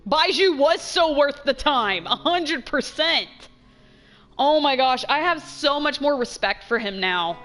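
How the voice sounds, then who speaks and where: A woman giving casual, chatty gameplay commentary as a streamer, expressive and trailing off into asides. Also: sits high, measured pace, soft background music. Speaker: game streamer.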